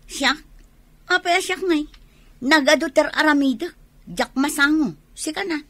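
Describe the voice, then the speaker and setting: An actress playing a self-centred, complaining sister in a radio drama, dismissive and full of excuses. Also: female voice, high-pitched, unhurried, a bit bright, no echo. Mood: peevish. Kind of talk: Filipino radio drama acting.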